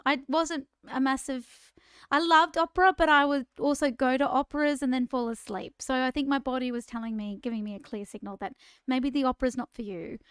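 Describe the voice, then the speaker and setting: High, smooth-voiced Australian woman. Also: no echo, studio quality. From Queensland, Australia. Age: 40s. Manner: chatty, self-deprecating storyteller. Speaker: podcast co-host. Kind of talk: casual conversational podcast chat.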